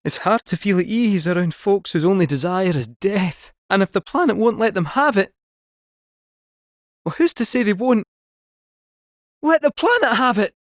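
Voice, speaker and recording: A man's voice: high-pitched, no room sound, clean studio sound. A man voicing a chatty male robot cat fortune-teller with a Scottish accent, cheeky and folksy, full of rhyming quips. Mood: wry chatter. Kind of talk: video game voice acting.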